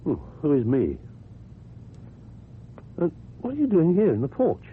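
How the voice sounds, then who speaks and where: A man's voice: on the high side, huge pitch swings. An actor playing a reserved, lonely, well-educated ex-convict with a British accent, kindly but wary and formal in his speech, in a radio drama. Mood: concerned. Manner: formal, measured, precise speech. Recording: muffled, noisy old radio recording.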